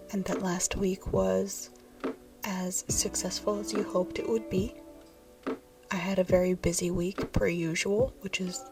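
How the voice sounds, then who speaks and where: A woman with a scratchy, congested-sounding throat, narrating a journaling video in a soft, half-whispered voice. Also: mid-range voice. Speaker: journaling vlogger. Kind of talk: soft-spoken voice-over narration. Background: lo-fi music.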